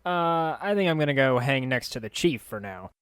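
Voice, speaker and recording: A man's voice: mid-range voice, animated, moderate pace, a bit bright, smooth, no room sound, studio quality, voice pushed up, natural voice. A man voicing a fawning, flirty male sidekick who bounces about eagerly. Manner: flirty, then meek.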